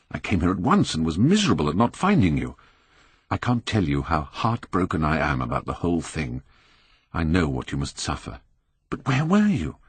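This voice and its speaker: A man's voice: deep voice, husky, no echo, full character voice. A man voicing an earnest painter in an audiobook, British, grave and pained as he pleads with a friend. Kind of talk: audiobook character acting.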